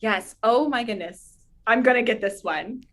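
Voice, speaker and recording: Female podcast guest, charity advocate. Higher-pitched voice, casual conversational delivery. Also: normal speed, a bit bright. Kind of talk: casual interview chat.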